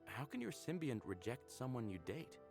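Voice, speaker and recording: An earnest, curious young hero of a sci-fi visual novel. His medium-pitched voice swings widely and expressively, full of concern, over quiet music. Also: very animated, moderate pace, creaky. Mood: curious, probing. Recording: music under the voice.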